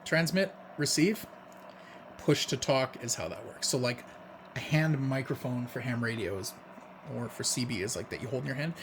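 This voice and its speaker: A man's voice: mid-range voice, slight background noise, rough recording. A man presenting a hands-on gadget demonstration in a casual, unscripted way, explaining things in plain, informal words.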